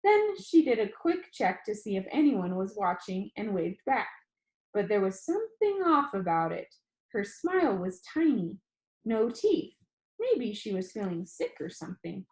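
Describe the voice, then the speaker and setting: Female teacher, mid-pitched, storytelling read-aloud for a video class, informal and expressive, audible room echo. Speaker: teacher reading a novel aloud to an online class. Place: indoor room, online class call.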